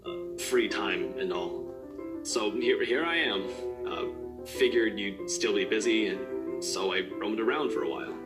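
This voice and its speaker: A man's voice: lowish voice, a little slow, lightly acted. A man voicing a teasing, slightly awkward young male friend in a visual novel game, casual and playful. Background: video game music.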